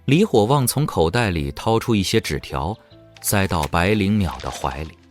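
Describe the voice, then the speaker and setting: A man's voice: low-pitched, lively intonation, normal speed. A man giving dramatic scripted narration for an audio drama. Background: faint music.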